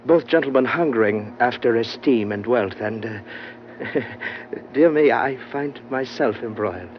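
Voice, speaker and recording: A man's voice: medium pitch, a little slow, lightly acted. A man acting an effete, genteel schemer, muffled vintage radio. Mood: fussy, smug. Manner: flowery, formal, fussy, hesitating politely. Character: genteel, effete, scheming male underworld trader, unctuously polite. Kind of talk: old-time radio adventure drama acting. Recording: dull, noisy vintage radio recording.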